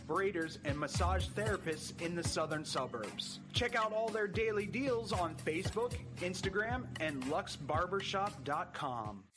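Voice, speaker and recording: A man reading a scripted local radio advertisement as an announcer. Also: high-pitched. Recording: music bed behind the voice.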